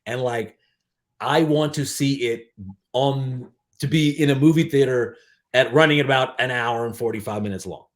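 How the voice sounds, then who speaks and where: A man in his forties talking casually as a podcast guest, emphatic, with halting false starts and some swearing. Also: mid-range voice. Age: 40s. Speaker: podcast guest, DJ and aspiring filmmaker. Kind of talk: casual interview chat.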